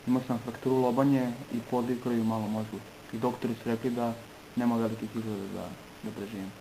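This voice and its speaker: Quick, flat-voiced man from Serbia. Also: mid-range voice, a little hiss or hum, poor audio. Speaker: person giving a testimony. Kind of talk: personal testimony in short phrases for interpretation.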